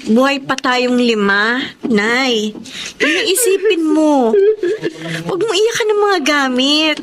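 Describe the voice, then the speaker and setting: A caring, resolute young woman in a radio drama. Her voice sits a little high, and she sounds earnest and reassuring, like an eldest sister holding the family together. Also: big pitch swings, slow pace, fairly bright tone, full character voice. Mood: pleading, resolute. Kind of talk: emotional radio drama acting.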